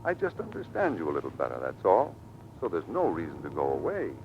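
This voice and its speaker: A man's voice: huge pitch swings, fairly quick, full character voice. A man acting an earnest, devoted skipper husband in a vintage radio drama. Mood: earnest, reassuring. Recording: dull vintage radio, faint rumble.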